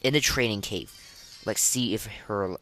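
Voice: medium pitch, fairly bright tone, slight background noise. A podcast host giving casual, unscripted game commentary in a hesitant, rambling way, with opinionated, slangy wording. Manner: hesitant, halting, rambling delivery.

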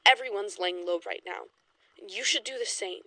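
A determined woman researcher in a 1920s horror audio drama. Her voice is bright and forceful, recorded close and dry. She is blunt, defiant and urgent. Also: medium pitch, moderate pace, dry recording. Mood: angry, defensive. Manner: blunt, emphatic, sweary.

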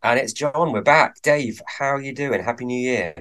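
A man's voice: medium pitch, moderate pace, a bit bright. A man co-hosting a podcast, chatting casually with a hesitant, rambling manner and many fillers. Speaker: podcast co-host.

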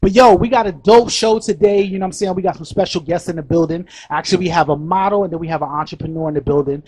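A man's voice: high-pitched, fast, darkish tone, slightly rough. A man hosting a podcast interview, teasing and joking in a loose, casual way. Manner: teasing, keeps saying "you know what I'm saying". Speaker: podcast host.

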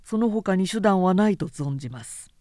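A woman voicing a resolute, principled samurai man in an audiobook, speaking in a firm, quiet, deliberate and formal way. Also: medium pitch, expressive, dry recording, clean studio sound, close to an everyday voice. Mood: calm confidence. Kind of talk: dramatic audiobook character reading.

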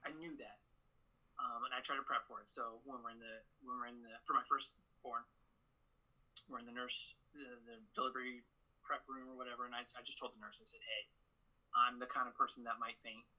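A man chats on a podcast in a bright, husky voice with an earnest, measured way of telling his stories. The sound is a little dull and lo-fi. His pitch sits in a middling range. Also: level tone. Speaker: guest, a father. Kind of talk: casual podcast chat.

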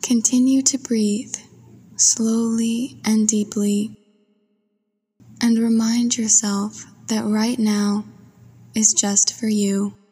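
A woman narrating a guided meditation in a soft, breathy voice, with a soothing, gentle and unhurried manner. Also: fairly high pitch, little pitch movement. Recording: long washy reverb.

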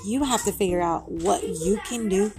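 Raspy, giggly young woman vlogging. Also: medium pitch, normal speed. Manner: rambling, giggly and upbeat. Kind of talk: casual motivational talk to camera. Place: home with kids playing.

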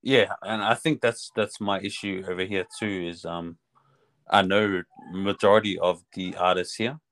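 Low-voiced man with a New Zealand-tinged Australian accent. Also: fairly even intonation, moderate pace, fairly bright tone. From Australia (Melbourne). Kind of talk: casual chat.

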